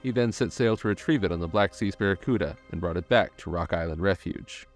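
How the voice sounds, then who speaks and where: A man reading scripted documentary-style narration in a measured, articulate storytelling manner. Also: sits low. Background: background music.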